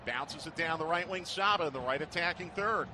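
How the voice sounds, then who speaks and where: A man's voice: fairly high pitch, a little fast. A man calling a live soccer match as a radio play-by-play commentator. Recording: loud stadium noise behind commentary. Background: stadium ambience.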